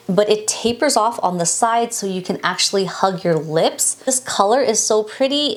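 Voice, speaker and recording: A young woman beauty vlogger talking casually to camera about products, her voice nasal and congested from allergies, chatty and upbeat. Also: mid-range voice. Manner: chatty, upbeat, repeats and restarts phrases.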